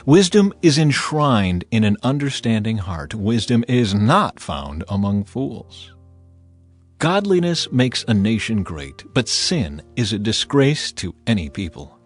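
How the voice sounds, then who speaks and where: A man reading scripture as a dramatized audiobook narrator, with a solemn, measured and expressive delivery. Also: on the low side, soft background music.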